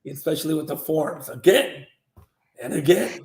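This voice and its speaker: A man in his fifties, originally from South Korea, tells his story as a podcast guest. His voice sits slightly high with a gravelly, mellow tone, and it echoes around the room. He rambles, restarting sentences and filling pauses often. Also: expressive. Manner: rambling, many fillers and restarts. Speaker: martial arts grandmaster guest. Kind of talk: storytelling interview answers. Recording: roomy echo.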